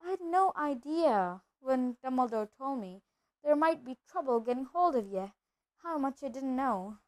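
Woman doing a big blustery kind giant guy from a storybook, folksy talk, pretty high voice. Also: lively intonation, unhurried. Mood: anxious, reluctant. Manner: folksy, colloquial, emphatic. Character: gruff giant man, blustering but kind-hearted.

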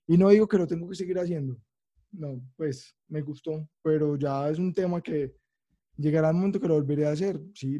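A man with a Colombian Spanish accent, a podcast co-host in a casual chat over a video call, slangy and excitable, swearing freely. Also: a little high, muffled recording.

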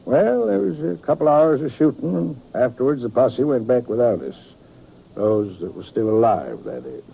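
A raspy, sly western outlaw, middle-aged and unrepentant, drawling in a rustic, taunting way. The recording is dull vintage 1950s radio. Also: male voice, medium pitch, very animated, moderate pace, exaggerated voice. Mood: sly, needling.

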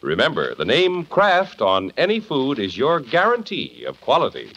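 A man's voice: medium pitch, wildly swooping pitch, normal speed. A man announcing and narrating from a script in polished, dramatic 1950s radio style. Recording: muffled, noisy vintage 1950s radio recording.

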